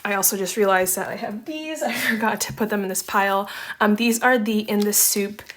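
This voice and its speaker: A woman's voice: mid-range voice, fairly quick. A young woman in casual chat while sorting photocards, chatty and rambling, with a slightly congested voice. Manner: chatty, rambling, often says um and like. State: slightly congested, recovering from laryngitis. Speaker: photocard collecting vlogger.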